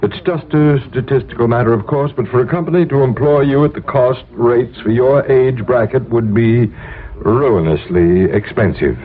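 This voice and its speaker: A man acting a coolly polite, unyielding employment agent, formal and measured in a 1970s radio drama style. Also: mid-range voice, close to an everyday voice. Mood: coolly regretful. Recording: tinny 1970s radio broadcast.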